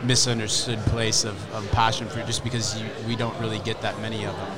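A man who founded a spirits brand speaks casually in an interview, halting often with fillers and restarts. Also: on the low side, flat delivery, loud music behind the voice. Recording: outdoor crowd noise.

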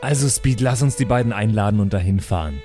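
Lively-pitched man in casual game chat. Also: fast talker. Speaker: tabletop role-player. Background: faint background music.

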